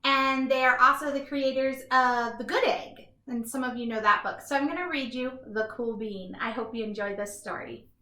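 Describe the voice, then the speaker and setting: A woman reads a children's story aloud as a teacher would, addressing young listeners directly. Her voice is high and bright, and the room adds a clear echo. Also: normal speed. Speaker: teacher reading a picture book aloud. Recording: echoey room sound.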